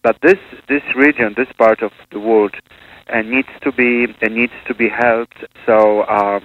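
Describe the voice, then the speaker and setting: A man's voice: mid-range voice, fairly even intonation, a little slow. A man from Poland, a priest and missionary, speaking in a radio interview with many hesitations and restarts. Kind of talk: conversational interview. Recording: tinny phone-line radio with hiss.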